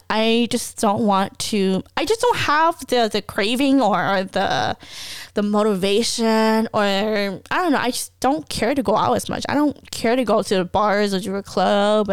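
Smooth-voiced chatty woman, dry close sound. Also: medium pitch, moderate pace, no echo. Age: 20s. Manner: chatty, laughs often, trails off and restarts. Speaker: podcast host. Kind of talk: casual personal talk.

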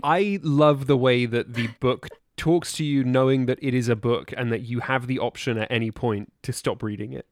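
A man in a casual book discussion, talking in a halting, conversational and appreciative way. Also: medium pitch, fairly even intonation, no room sound, clean studio sound.

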